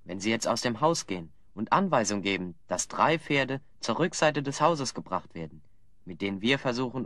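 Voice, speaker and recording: A man acts a clever, composed hero. He speaks at medium pitch, articulate and persuasive, in a close, dry audio drama recording. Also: a bit bright, no room sound, lightly acted. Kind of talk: German audio drama acting.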